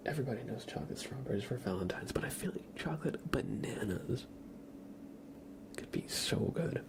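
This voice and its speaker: A man streaming ASMR, chatting casually to viewers in a soft, breathy whisper with a slightly stuffy nose. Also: mid-range voice, lively intonation, normal speed, noisy background. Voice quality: breathy whisper with a creaky edge. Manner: soft-spoken, rambling, casual.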